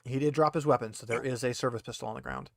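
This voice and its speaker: A man's voice: medium pitch, steady tone, fast talker, gravelly, a bit bright, no room sound. Man explaining tabletop game rules, close dry sound. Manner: casual, explanatory. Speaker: game master.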